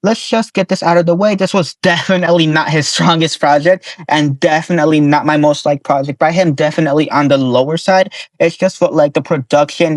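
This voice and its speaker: Hoarse man reviewing albums. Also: fairly high pitch, no echo. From Nevada, US. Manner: reads quick notes, corrects himself, chuckles at slips. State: hoarse, worn voice. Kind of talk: casual scripted review.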